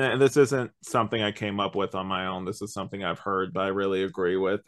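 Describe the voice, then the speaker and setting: A man co-hosting a podcast, giving rambling, slangy opinions in a casual review chat. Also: lowish voice. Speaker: podcast co-host.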